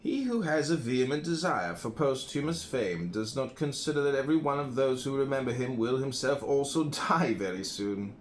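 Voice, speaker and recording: A man's voice: medium pitch, animated, moderate pace, hardly any pauses, a little hiss or hum. An American man reading classical philosophy aloud as an audiobook, in a solemn, emphatic, declamatory manner. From United States. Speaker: audiobook reader.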